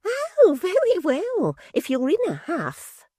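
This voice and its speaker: Shrill, affected young maidservant: sly gossip, falsetto, swooping and slow, dry audiobook read. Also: male voice, huge pitch swings, warm tone, no echo, full character voice. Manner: gushing, mock-breathless, drawn-out exclamations. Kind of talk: audiobook character voice.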